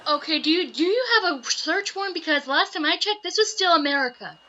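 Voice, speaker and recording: Sitcom dub: quirky young woman, played by an actress, high and swooping, exasperated, theatrical; muffled, noisy home recording. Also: expressive, fairly quick. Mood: defiant, pleading. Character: frazzled, quirky young woman battling a beeping fire alarm. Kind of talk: sitcom fandub acting.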